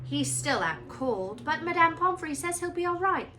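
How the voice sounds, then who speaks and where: A woman voicing an excitable, boastful schoolboy who shouts exuberantly in a storybook reading. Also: sits high, normal speed, slight background noise, voice pushed up, exaggerated voice. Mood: excited.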